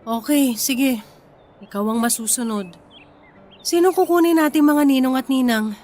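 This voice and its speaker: A woman's voice: high-pitched, moderate pace, darkish tone, soft background music, lightly acted. A woman playing a modest, practical young Filipina wife and mother in a radio drama, hesitant and questioning. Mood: hesitant, resigned.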